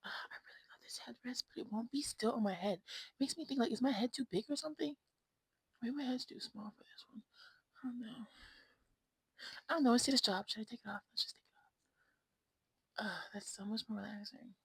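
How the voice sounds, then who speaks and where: A sassy woman of twenty-five, chatting casually to camera on a new mic and slipping between breathy whispers and loud asides. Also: fairly high pitch, fairly quick, long pauses, no echo. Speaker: vlogger. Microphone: new desk mic.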